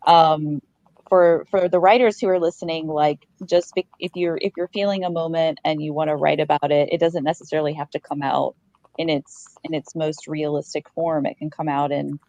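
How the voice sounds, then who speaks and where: A woman's voice: deep voice, fairly even intonation, normal speed, dark tone, no echo, dull audio. A woman hosting a podcast in casual interview chat, talking in an effusive, affirming way. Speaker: podcast host.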